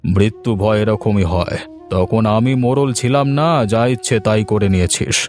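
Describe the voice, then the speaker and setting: A man voicing a stern but fair village headman in a cartoon moral story, with measured, moralising speech. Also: lowish voice, darkish tone, faint music behind, voice pushed down, close to an everyday voice. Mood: solemn advice.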